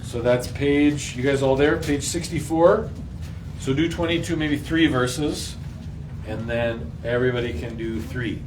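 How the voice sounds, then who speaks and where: A man's voice: medium pitch, a little slow. A man leading a casual, interactive Bible lesson in a playful, slangy way, asking the group lots of questions. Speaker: group Bible reading leader. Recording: roomy echo, distant mic, faint noise.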